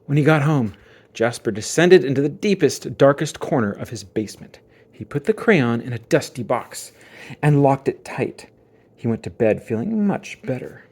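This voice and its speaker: A man narrating a children's book aloud as dramatic storytelling, with theatrical emphasis and suspenseful pauses. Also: mid-range voice, big pitch swings, darkish tone.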